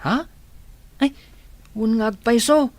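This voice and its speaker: A woman's voice: on the high side, slow, no echo. A woman playing a kind, protective older-brother boy in an audio drama, plain-spoken and questioning. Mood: puzzled.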